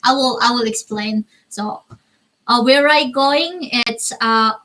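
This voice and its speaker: A young woman with a Korean accent hosts a casual live stream from a small bedroom, speaking into a laptop mic. Her voice sits a little high, and she talks slowly in English that is not her first language. She often pauses and restarts while she hunts for the right words. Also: bright, forceful tone, low-quality recording. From North Korea. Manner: halting, searches for English words. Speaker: livestream host. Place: small bedroom with string lights.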